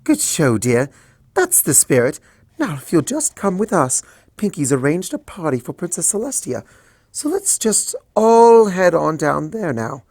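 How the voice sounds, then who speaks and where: A man's voice: on the high side, sing-song, unhurried, a little mellow, no room sound, voice pushed up, heavily acted. A man voicing a refined, fussy upper-class female unicorn in a fanfic reading, in a posh, soothing way. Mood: coaxing.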